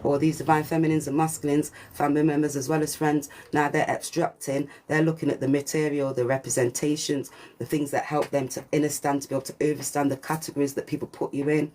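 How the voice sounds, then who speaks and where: Deep-voiced woman preaching a reading. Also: little pitch movement, fast talker, darkish tone, slight background noise. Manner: preachy, repetitive, sprinkled with swearing and slang. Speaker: spiritual reader. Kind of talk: channelled collective reading monologue.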